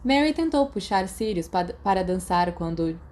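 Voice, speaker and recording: A woman's voice: mid-range voice, expressive, moderate pace, a little mellow. A woman with a Brazilian accent reading scripted fiction as an audiobook narrator. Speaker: podfic narrator. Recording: noticeable background noise.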